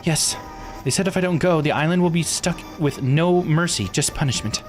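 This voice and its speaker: A man's voice: a little high, normal speed, over music, pitched above the performer's own voice, close to an everyday voice. A man voicing an earnest, worried young islander in a visual novel, polite and questioning. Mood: uneasy. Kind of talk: visual novel read-aloud voice acting.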